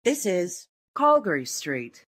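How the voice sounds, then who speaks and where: Lady doing subway announcements, slow n formal, lowish voice, pitch moves around a lot. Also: big pitch swings, a bit bright, breathy edge. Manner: measured, formal, segmented delivery. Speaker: train announcer. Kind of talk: scripted transit announcement, spliced clips.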